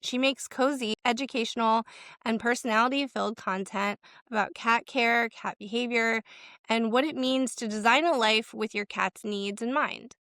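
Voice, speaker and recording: A woman's voice: fairly high pitch, steady tone, moderate pace, fairly bright tone, no room sound, studio quality. A woman hosting a casual podcast, chatty and enthusiastic, full of "like" fillers. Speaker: podcast host.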